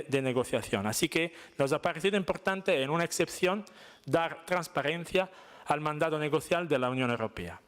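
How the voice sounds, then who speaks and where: A male speaker delivers a prepared conference address. His voice sits a little higher than usual for a man, and his delivery is measured and formal throughout. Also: fairly bright tone.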